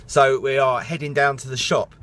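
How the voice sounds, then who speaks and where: A man with a hoarse, croaky voice, chatting casually in a rambling vlog with lots of filler sounds. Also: mid-range voice, level tone, normal speed, fairly bright tone, slight background noise. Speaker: vlogger.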